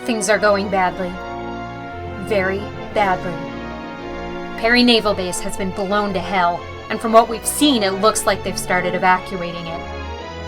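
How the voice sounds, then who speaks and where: A woman playing a brisk, grave military major in a sci-fi audio drama, speaking in a firm, businesslike way. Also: mid-range voice, natural voice. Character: brisk, grave female major leading fighter pilots.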